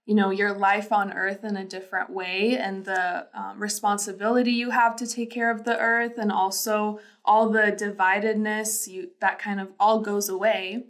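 A young woman from Lithuania co-hosting a podcast, explaining things in a calm, measured way. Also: medium pitch. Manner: calm, measured, frequent "you know". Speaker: podcast co-host. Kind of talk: casual podcast chat. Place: small bright studio room, indoors. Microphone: desk microphone.

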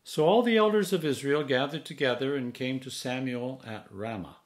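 A man in his sixties, a priest, reading scripture aloud in a measured, solemn manner with deliberate phrasing. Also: mid-range voice. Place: home study, indoors.

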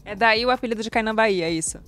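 A young Brazilian woman working as a reporter, asking quick, bubbly questions into a handheld mic in front of a press backdrop. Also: a little high, a bit bright, faint music behind. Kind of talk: interviewing.

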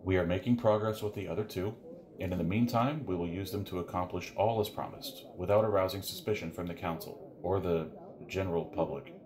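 A man's voice: low voice, brisk, lightly acted. A man playing a formal, obsequious henchman reporting schemes to his master in an audio drama. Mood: anxiously obedient. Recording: noisy covert room recording.

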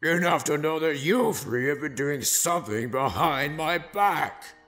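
A woman voicing a menacing old male goat monster, a stern overlord villain, booming and deliberate in a fan fiction story. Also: animated, a bit bright. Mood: suspicious, stern.

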